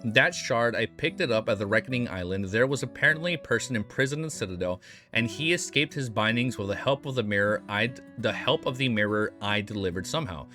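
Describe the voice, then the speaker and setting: A man voicing a young man who sounds wry and sombre in a low, measured way, read aloud from a novel. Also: animated, pushed and bright, soft background music.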